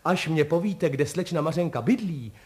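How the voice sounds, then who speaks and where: A man playing a folksy, excitable postman. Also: a little high, pitched above the performer's own voice, close to an everyday voice. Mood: exuberant. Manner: colloquial, deferential yet exuberant, piling up words. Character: chatty, good-hearted, excitable folksy postman.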